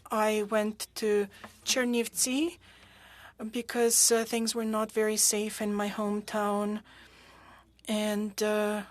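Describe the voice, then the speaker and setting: A woman interpreting witness testimony at a hearing into English, halting and pausing often with fillers. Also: mid-range voice, no room sound. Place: interpreter booth at a parliamentary hearing.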